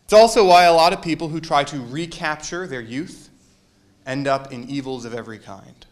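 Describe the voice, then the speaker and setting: Male minister, fairly high voice, chatty sermon with jokes and audience quizzes. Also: normal speed. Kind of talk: casual sermon to a congregation.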